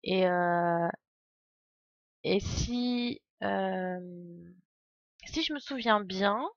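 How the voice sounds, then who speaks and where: A woman commentates a game live in a casual, playful way. Her voice sits at a medium pitch and she drifts into chatty asides. The sound is very dry and a little dull, like a close home stream. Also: drawn-out words, no room sound.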